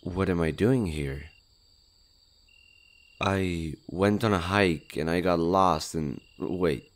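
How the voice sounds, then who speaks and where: Man playing a shy, deep-voiced, stammering monster. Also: slow. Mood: flustered, awkward. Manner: hesitant, stammering, flustered. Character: shy, nervous, friendly male skinwalker monster disguised as human. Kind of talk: intimate roleplay audio drama acting.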